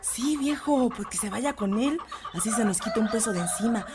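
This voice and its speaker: Casting: actress as harsh rural Mexican mother, high pitch, scornful and bossy, audio fiction with faint farmyard ambience. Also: expressive, normal speed, noisy background, natural voice. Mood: scheming. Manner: scolding, dismissive, bossy.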